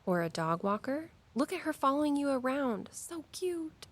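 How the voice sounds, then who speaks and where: A woman's voice: high voice, sing-song, normal speed. A woman playing an earnest, caring, mystically minded tour guide and daughter in an audio drama, speaking conversationally. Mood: admiring.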